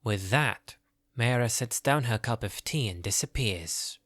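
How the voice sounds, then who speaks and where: Male narrator, scripted fantasy storytelling, medium pitch, close mic, dry studio. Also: expressive, measured pace, a bit bright, no echo.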